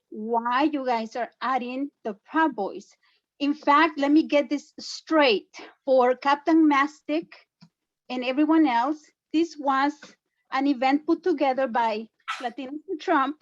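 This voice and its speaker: A woman's voice: high voice, highs cut off. A woman from El Salvador with a Salvadoran Spanish accent, speaking insistently and defensively as a public commenter at an online town hall. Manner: insistent and defensive, repeats points.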